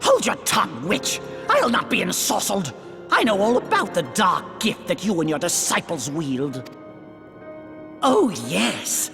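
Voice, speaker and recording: Haughty, scheming courtly villainess, swooping delivery. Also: female voice, medium pitch. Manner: haughty, theatrical, archly formal. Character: scheming, venomous female political accuser, smug and cold. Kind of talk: video game cutscene acting. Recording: long reverb with music behind.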